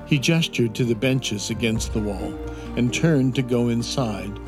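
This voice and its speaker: A man narrates a scripted fantasy story for children. His delivery is slow and measured at a middle pitch, with loud music playing behind him throughout.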